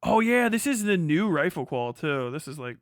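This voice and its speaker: A man's voice: a little high, big pitch swings, moderate pace, no room sound, studio quality. A middle-aged man giving casual, rambling reaction commentary as a livestream host, full of slang and swearing. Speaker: livestream host, former Marine.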